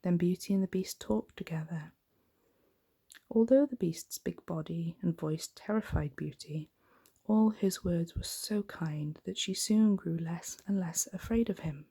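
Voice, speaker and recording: A woman reading a bedtime story in a gentle, unhurried ASMR style, soft-spoken and breathy, often close to a whisper. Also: lowish voice.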